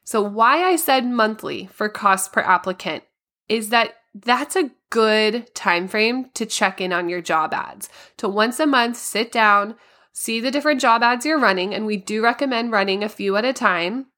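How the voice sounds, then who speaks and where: A woman hosting a solo podcast, a little raspy and hoarse from a cold, explaining things in a practical, step-by-step way. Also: a little high, moderate pace, sharp tone. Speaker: podcast host.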